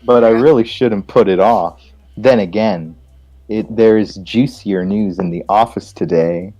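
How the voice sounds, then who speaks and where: A man's voice: lowish voice, measured pace, warm tone, muffled recording. A man reading game text aloud and reacting casually, playful and stumbling over lines.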